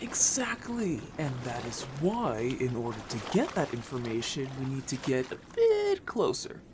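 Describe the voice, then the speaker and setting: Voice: medium pitch, wildly swooping pitch, normal speed, noisy background. An actor playing an upbeat, bold young merfolk scout in an audio story, chatty, casual and playfully defensive. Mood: defensive, eager. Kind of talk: audio story character acting.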